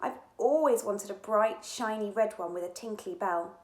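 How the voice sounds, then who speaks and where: A woman voicing an excitable, eager young girl on an adventure in a children's storybook. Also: mid-range voice, normal speed, natural voice. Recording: echoey room sound.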